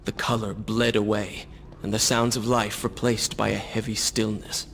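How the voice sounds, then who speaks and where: A man's voice: on the low side, level tone, normal speed, creaky, fairly bright tone, a little hiss or hum. A man playing an earnest, brooding young warrior prince in a video game, solemn and dramatic. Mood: melancholy.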